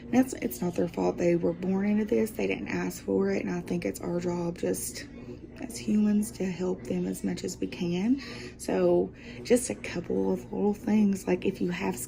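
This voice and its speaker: A woman with a very gravelly, creaky voice sitting fairly low, chatting away in a loose, rambling vlog style. Her tone is dark and mellow, with a faint hiss under the phone-recorded audio. She sounds upbeat and pokes fun at herself. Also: fairly even intonation, moderate pace. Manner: rambling, upbeat, self-deprecating, with frequent laughs. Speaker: guest vlogger taking over a sister's channel. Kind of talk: casual chatty vlog talk.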